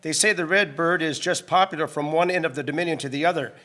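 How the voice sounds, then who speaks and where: A man's voice: a little high, quick pace, sharp tone. A man playing an agreeable, well-informed 1890s shopman in a community radio drama, speaking in a matter-of-fact way. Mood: impressed. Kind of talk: community radio drama acting in early live radio manner.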